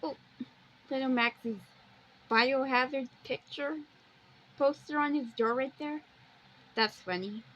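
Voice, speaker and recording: A dull, lo-fi recording of a woman with a very high voice. She gives off-the-cuff commentary at a very slow pace, halting and circling back over her phrases. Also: fairly bright tone. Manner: halting, rambling, repeats phrases. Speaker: video host. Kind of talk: unscripted picture-by-picture commentary. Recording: dull lo-fi recording with background noise.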